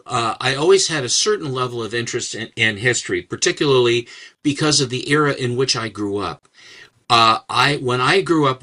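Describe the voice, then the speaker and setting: An older man as an interview guest, talking in a rambling, halting way with many restarts and fillers. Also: medium pitch, bright, forceful tone. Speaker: guest, comics writer and collector. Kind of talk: casual interview chat.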